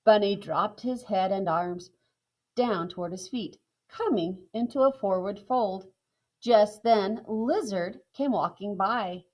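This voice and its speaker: A woman narrating a children's picture book in a calm, clear way. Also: mid-range voice, slow, low-quality recording. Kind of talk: children's storybook reading.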